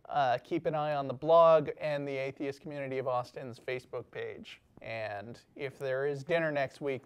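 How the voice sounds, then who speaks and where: A male call-in show host with a smooth, resonant voice pitched a little high. He reads announcements plainly and conversationally before taking the next caller.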